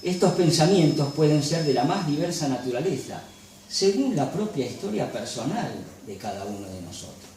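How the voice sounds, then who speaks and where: A man's voice: on the high side, lively intonation, dark tone, a little hiss or hum. Formal man lecturing in an echoing hall. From Galicia. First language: Galician. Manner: measured, formal, reads dense theoretical prose. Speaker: lecturer and photographer. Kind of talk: reading a prepared academic lecture. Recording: very echoey hall from a distance.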